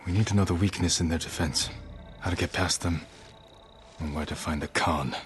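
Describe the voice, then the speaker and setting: An actor playing a steadfast young samurai lord in a video game cutscene, terse, measured and serious. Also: male voice, deep voice, soft background music, close to an everyday voice. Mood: calm, commanding.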